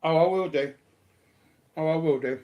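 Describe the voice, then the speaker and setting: A man's voice: fairly high pitch, moderate pace, dark tone, slight background noise. A man talking in a casual, rambling, colloquial way, often repeating himself. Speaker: speaker sharing personal life news.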